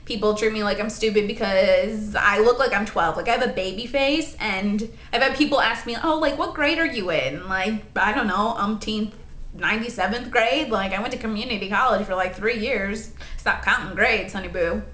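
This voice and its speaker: A woman in her late twenties chatting in a rambling, animated way for her vlog, full of asides and "like.". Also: mid-range voice, normal speed, roomy sound. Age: 20s. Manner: rambling, animated, lots of "like" and "honey". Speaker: vlogger.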